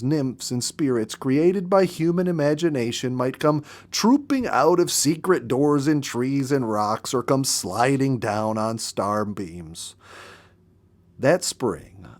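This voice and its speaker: Guy reading a storybook out loud, mid pitch, expressive, takes his time w dramatic pauses. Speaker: storyteller reading a book aloud. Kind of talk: expressive narrated audiobook reading.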